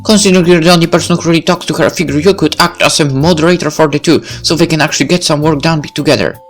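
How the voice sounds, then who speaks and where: Helpful teen schoolgirl for a visual novel, acted by a man, raised pitch, friendly, coaxing, faint music behind. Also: fairly even intonation, very fast, lightly acted. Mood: persuading. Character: eager, helpful, cheerful teenage schoolgirl, persuasive.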